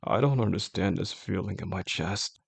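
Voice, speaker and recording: A man acting as a possessive, obsessive male boss in an intimate audio drama. His voice is low and heavily creaky, with a husky rasp. He speaks slowly and deliberately, coaxing with calm menace and formal phrasing. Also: heavily acted. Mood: intense, confessing. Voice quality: gravelly, husky. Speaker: audio roleplay voice actor. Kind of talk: intimate scripted roleplay monologue.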